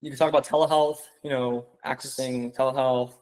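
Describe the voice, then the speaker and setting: A man's voice: mid-range voice, little pitch movement, brisk, a little mellow, poor audio. A young man giving a casual online seminar, rambling with lots of "like" and "you know" and calling his viewers "guys.". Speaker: webinar presenter.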